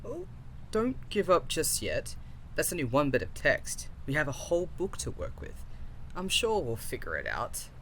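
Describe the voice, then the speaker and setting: A man's voice: fairly high pitch, huge pitch swings, normal speed, fairly bright tone, slight background noise, exaggerated voice, poor audio. A man acting as a curious, cautious researcher in an audio drama, hesitant and thinking aloud in short phrases. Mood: puzzled, encouraging. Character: curious, cautious male researcher and code developer, encouraging.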